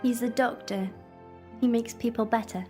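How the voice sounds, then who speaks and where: A woman's voice: a little high, fairly even intonation, moderate pace, mellow, soft background music, close to an everyday voice. A young woman playing a plucky, outspoken time-traveller's companion in an audio drama, candid and questioning. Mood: curious, supportive.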